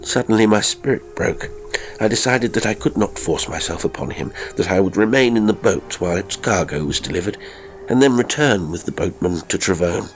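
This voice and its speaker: A man narrating a literary story in a measured, formal and expressive storytelling manner. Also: fairly low pitch, soft background music, poor audio. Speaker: audiobook narrator.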